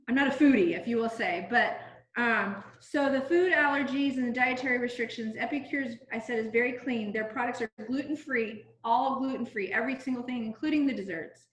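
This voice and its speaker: A woman's voice: a little high, a bit bright. A middle-aged woman from Southern California gives a casual cooking-product demonstration over a video call from home. She talks in a chatty, informal way, with many ums and restarts. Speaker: direct-sales cooking consultant. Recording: roomy video-call audio.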